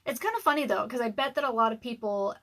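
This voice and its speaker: A woman in her thirties with a scratchy voice, rambling casually on a livestream from her dark room at home, with lots of ums and little laughs. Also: on the high side, fast talker. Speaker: author and livestream host. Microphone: webcam livestream.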